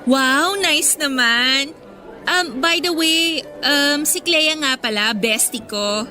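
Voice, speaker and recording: A woman's voice: high-pitched, takes it slowly, pushed and bright, exaggerated voice. A Filipino woman playing a bubbly, eager-to-please teenage schoolgirl in a radio drama, perky and chatty in casual Taglish. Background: background music.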